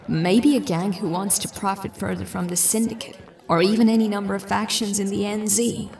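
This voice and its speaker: A woman's voice: medium pitch, gravelly, a little mellow, recorded from a distance, lightly acted. A woman playing a determined, sceptical police detective in a science fiction audio drama, musing and dry in her delivery. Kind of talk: audio drama dialogue and investigative monologue.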